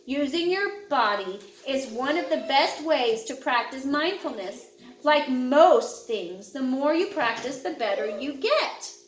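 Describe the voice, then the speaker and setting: A woman narrating a children's picture book in a measured, instructional way, phrasing clearly for young listeners. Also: sits high, echoey room, slight background noise, poor audio. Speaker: audiobook narrator.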